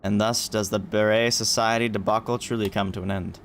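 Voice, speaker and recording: A man's voice: on the low side, steady tone, fairly quick, fairly bright tone, a little hiss or hum. A man livestreaming a video game, reacting casually and reading game text aloud.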